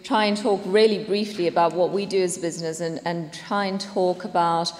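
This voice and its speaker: A woman's voice: on the low side, steady tone, a little fast, a little mellow. A South African woman in her late thirties giving an upbeat, earnest talk at a business conference. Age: late 30s. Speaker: conference speaker, company executive. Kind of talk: presentation to an audience. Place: conference hall. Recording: long reverb of a conference hall.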